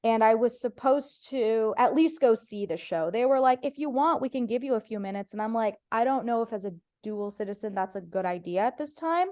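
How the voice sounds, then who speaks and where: A woman's voice: fairly high pitch, animated, brisk, few pauses, a little mellow. A woman comedian from Ohio, whose first language was Farsi, chatting casually as a podcast guest, telling stories with playful voiced quotes. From United States (Ohio).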